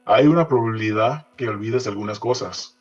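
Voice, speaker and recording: Interpreter for audio drama, male actor: neutral, dutiful, quick delivery, slightly dull recording. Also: fairly low pitch, breathy edge. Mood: neutral, formal. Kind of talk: audio fiction reading.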